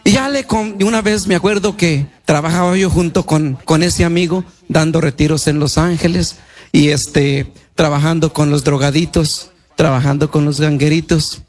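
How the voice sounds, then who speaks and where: A male priest speaks in a notably high voice. He preaches a personal testimony in plain, colloquial and devout words, often repeating a phrase to stress it. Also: normal speed.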